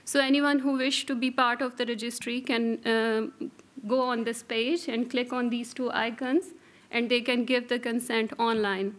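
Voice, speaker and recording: A woman's voice: a little high, level tone, moderate pace. Hesitant woman presenting at a podium. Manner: careful, hesitant delivery with many fillers. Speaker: registry coordinator presenting. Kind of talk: conference presentation. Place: conference hall, at a podium. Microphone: podium microphone.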